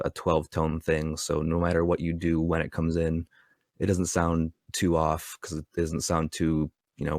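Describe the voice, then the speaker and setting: A man's voice: very deep voice, gravelly, no room sound, clean studio sound. A man who works on game music, explaining technical details casually in an interview.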